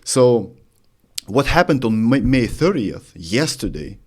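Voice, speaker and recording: A man from Lithuania, hosting an interview in earnest, slightly halting English. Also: mid-range voice, very animated, darkish tone, breathy edge. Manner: earnest, slightly halting, non-native phrasing. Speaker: host. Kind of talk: interview questions.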